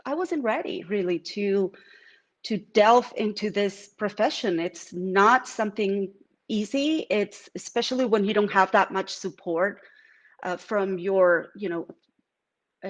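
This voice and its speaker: A middle-aged woman with a Venezuelan accent speaks reflectively and casually in a live talk from her art studio. She talks with a lot of fillers and restarts, and her pitch sits at a middle level. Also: animated, measured pace. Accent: Venezuelan Spanish. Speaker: artist. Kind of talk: live-streamed artist talk.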